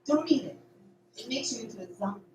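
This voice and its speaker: Radio play part: actress as paranoid, insistent young farm woman, high voice, recorded roomy with clear noise. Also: animated, fast, natural voice. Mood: warning, secretive. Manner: urgent, emphatic warnings. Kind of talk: amateur radio drama dialogue. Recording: roomy, distant mic, noisy background.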